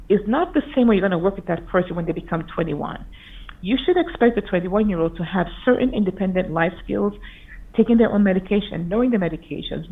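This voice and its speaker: A woman's voice: mid-range voice, vocal fry. A woman physician giving an earnest, explanatory conference talk over slides from a video call. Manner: earnest, explanatory, with occasional restarts. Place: remote video call presentation. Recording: tinny phone-like video call audio.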